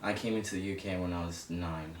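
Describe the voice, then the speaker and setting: A man in his early twenties from Zimbabwe telling personal stories as an interview guest in a casual, halting, hesitant way. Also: fairly even intonation. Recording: echoey room, distant mic, rumbling noise.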